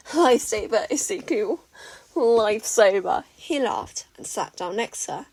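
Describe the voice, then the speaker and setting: A woman reading a fan fiction story aloud in a steady, even storytelling manner. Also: mid-range voice. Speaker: podfic reader.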